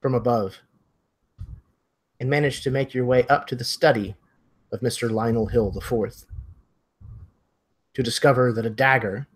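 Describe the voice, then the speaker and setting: A man narrating a mystery role-playing game as game master, in measured, dramatic phrases. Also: medium pitch, husky.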